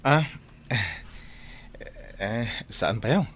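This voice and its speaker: A man's voice: mid-range voice, expressive, extremely slow, a bit bright, slight background noise. An actor playing a boastful, flirtatious driver courting a woman in a radio drama, with an Ilocano accent. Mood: hesitant. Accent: Ilocano, northern Philippines.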